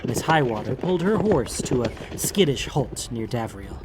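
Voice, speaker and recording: A man's voice: medium pitch, big pitch swings, normal speed, very noisy. A man reading a fantasy story as a scripted, dramatic audiobook narration. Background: background music.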